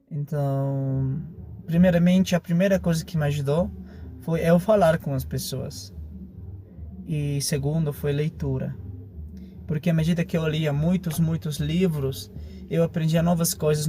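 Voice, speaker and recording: A man with a Bolivian accent and Spanish as his first language, giving a relaxed, casual testimonial full of fillers. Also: a little high, slight background noise.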